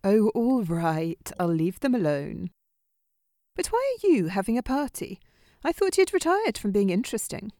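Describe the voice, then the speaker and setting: A woman's voice: medium pitch, sing-song, normal speed, mellow, no room sound, studio quality. A woman playing a vain, flirtatious, competitive glamorous friend in an audio drama, theatrical and teasing. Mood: arch, indignant.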